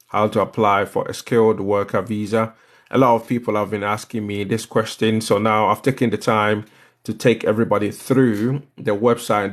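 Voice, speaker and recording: A man with a Ghanaian accent hosting a live step-by-step tutorial, instructive and repeating phrases for emphasis. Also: on the low side. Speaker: livestream host explaining visa applications.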